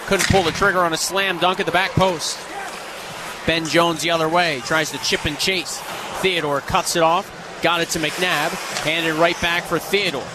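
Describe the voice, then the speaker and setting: High-pitched male hockey play-by-play announcer. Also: noisy background. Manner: clipped, vivid running call. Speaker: ice hockey radio play-by-play commentator. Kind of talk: live sports play-by-play commentary.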